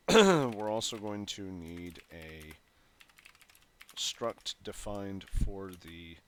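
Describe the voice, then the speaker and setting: Phlegmy man slowly talking through code. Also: sits low, animated, fairly bright tone. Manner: thinks aloud while typing, frequent fillers and self-corrections. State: phlegmy, clearing his throat. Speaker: programming tutorial host. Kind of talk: casual coding walkthrough. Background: keyboard typing and clicks.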